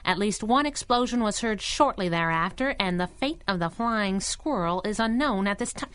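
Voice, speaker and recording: An actress playing a spunky, wisecracking young superheroine sidekick in a 1930s-style radio drama, brash and sassy. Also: female voice, medium pitch, expressive, normal speed, a bit bright, clean tone, lightly acted. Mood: reading aloud dramatically.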